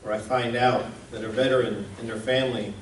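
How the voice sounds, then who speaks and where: A man's voice: medium pitch, steady tone, brisk. Man giving a talk in a roomy space. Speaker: presenter, radio station founder. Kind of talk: informal public presentation. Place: indoor museum event room. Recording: roomy, distant mic, clear background noise.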